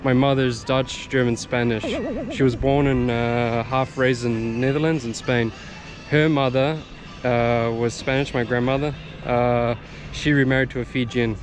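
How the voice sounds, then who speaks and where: A man's voice: mid-range voice, steady tone, unhurried, over music. A young man with a New Zealand accent casually telling his own story in an informal vlog, addressing his viewers. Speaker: language-learning vlogger.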